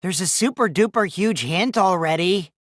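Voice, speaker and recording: A man's voice: high-pitched, normal speed, dry recording, clean studio sound. A man voicing a mischievous, childish teenage boy who lies and schemes, in a youthful, teasing, theatrical video game performance. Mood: teasing, sly. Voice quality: boyish, youthful put-on voice. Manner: teasing, theatrical, swinging from singsong to hushed menace. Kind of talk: English video game voice acting.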